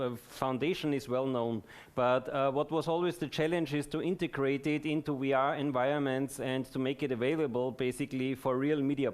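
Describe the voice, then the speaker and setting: A man presenting in a live technical talk, explaining things methodically. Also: mid-range voice.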